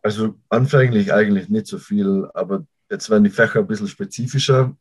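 A young man with an Austrian accent, a college football player answering interview questions in a halting way, often restarting sentences. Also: fairly low pitch, slightly rough. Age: young adult. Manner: halting, restarts sentences while searching for words. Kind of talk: casual interview talk.

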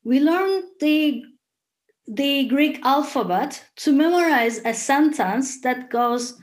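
Casting: young woman, high voice, earnest and indignant testimony. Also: lively intonation, slow pace. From Cyprus. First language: Turkish. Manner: earnest, indignant, rhetorical questions. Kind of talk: scripted personal testimony.

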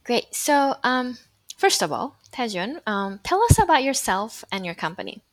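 A woman's voice: fairly high pitch, very animated, normal speed, dry recording. Woman hosting a podcast interview. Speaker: podcast host.

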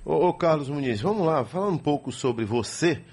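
A man hosting an interview show, chatty and quick with tag questions. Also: fairly high pitch, breathy edge. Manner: lively introductions, chatty, tags questions with "né". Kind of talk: radio-style interview hosting.

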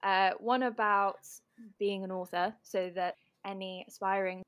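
A woman's voice: mid-range voice, fairly even intonation, unhurried, fairly bright tone, no room sound. A woman hosting a casual book podcast, bubbly and giggly, with many ums. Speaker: podcast host.

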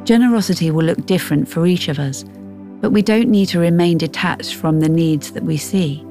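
A woman reading a scripted Bible devotional in a measured, reflective way. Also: fairly low pitch, dark tone. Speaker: devotional reader. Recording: faint music behind.

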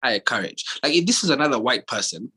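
A young man from Kenya in casual chat, telling stories in a relaxed way with many fillers and restarts. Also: mid-range voice, quick pace, husky.

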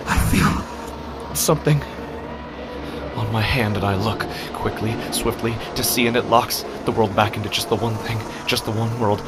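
A man playing an unhinged narrator in a first-person horror audio drama, speaking in a halting, intense, dramatic way and repeating phrases. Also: on the low side, steady tone, very noisy, close to an everyday voice. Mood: disoriented, alarmed. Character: unhinged man narrating his horrifying loss of sight.

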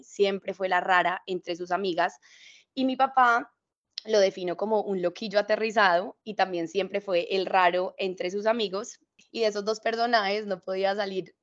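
A woman's voice: medium pitch, lo-fi sound. Chatty Colombian woman with mixed accent. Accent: Colombian, mix of Cali and Paisa. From Cali, Colombia. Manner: chatty and reflective, with fillers. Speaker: podcast guest. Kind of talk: casual interview chat.

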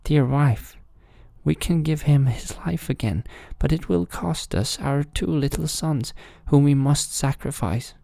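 Man voicing a fairy-tale king, pleading, grieving, high for a deep creaky voice. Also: a little slow, mellow, no room sound. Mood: earnest. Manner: lamenting, pleading.

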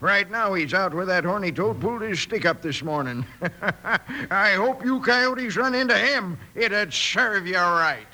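An actor playing a crotchety, indignant old western sheriff with a folksy frontier way of talking in a radio drama. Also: male voice, high-pitched, animated, measured pace, sharp tone, exaggerated voice. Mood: cantankerous. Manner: folksy frontier drawl, cantankerous.

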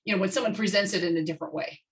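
A woman's voice: mid-range voice, very fast. Chatty, affirming woman podcast host. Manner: chatty, affirming, tells anecdotes. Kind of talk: conversational interview.

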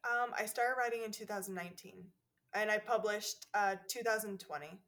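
A woman's voice: medium pitch, moderate pace. A woman author chatting as a podcast guest, laughing often and talking with many fillers and restarts. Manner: giggly, animated, many fillers. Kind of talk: casual podcast chat.

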